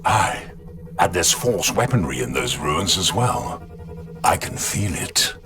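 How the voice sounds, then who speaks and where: An actor playing a gruff, brooding veteran space marine in a video game, with a grim, wry manner. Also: male voice, low-pitched, big pitch swings, takes it slowly, over music, heavily acted. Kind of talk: game cutscene acting.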